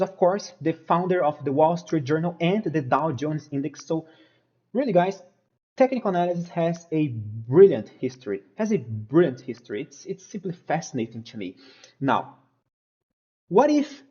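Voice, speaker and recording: A man's voice: on the high side, warm tone, dull audio. A man from Brazil with a Brazilian Portuguese accent, walking viewers through a stock chart analysis and repeating his points with emphasis. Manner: emphatic, repeats phrases, addresses viewers as guys. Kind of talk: technical analysis walkthrough over a chart.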